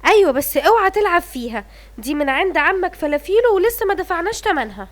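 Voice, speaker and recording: A woman voicing a bossy, excitable mother lioness in a cartoon, with an Egyptian Arabic accent. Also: very high-pitched, quick pace. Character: fussy mother lioness, the king's wife.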